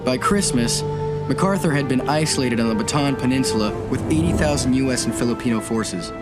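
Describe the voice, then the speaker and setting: An actor voicing a brash young navy officer who narrates his war in a game cutscene over a loud score. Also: mid-range voice, brisk, over loud music, natural voice. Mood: wry. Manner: wry, brash retrospective narration.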